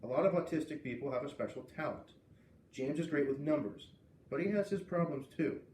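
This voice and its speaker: A man reading a children's book aloud as an expressive storyteller. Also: on the high side, brisk. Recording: roomy, distant mic with faint noise.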